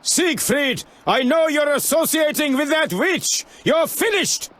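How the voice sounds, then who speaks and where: A menacing, fanatical monk as a fantasy game villain. He shouts his threats in rage, pitched extremely high for a man. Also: animated, a little slow, exaggerated voice. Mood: furious, threatening. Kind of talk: video game voice acting.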